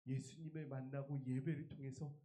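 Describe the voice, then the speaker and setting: A man's voice: mid-range voice, level tone, a little fast, dark tone, reverberant. A man preaching a revival sermon as a pastor, emphatic and putting rhetorical questions to his congregation. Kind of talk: Korean church revival sermon.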